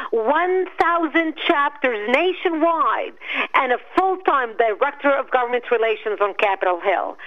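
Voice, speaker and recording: A woman's voice: high voice, dull audio. Passionate woman. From Lebanon. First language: Arabic. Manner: passionate, emphatic, rallying. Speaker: guest, activist. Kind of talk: interview answer, speech-like appeal.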